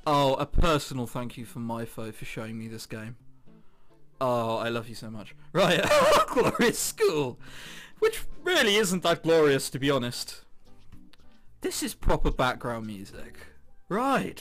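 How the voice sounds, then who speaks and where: A man doing let's play commentary, reading visual novel text aloud in an excitable, giggly way with exaggerated reactions. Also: a little high, unhurried. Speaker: video game commentator. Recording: background music under the voice. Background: game music.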